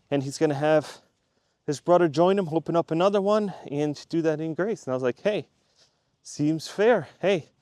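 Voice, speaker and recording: A man's voice: on the high side, a little mellow, clear, resonant tone, no echo. Chatty man with a dry close sound. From Switzerland. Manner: chatty and rambling, repeats himself for emphasis. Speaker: YouTube creator. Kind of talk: casual talk to camera.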